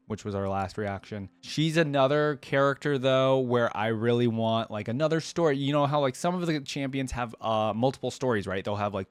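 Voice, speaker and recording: A man's voice: mid-range voice, dry recording. A man hosting a casual reaction video, thinking aloud in an informal, rambling way. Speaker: YouTube reaction host.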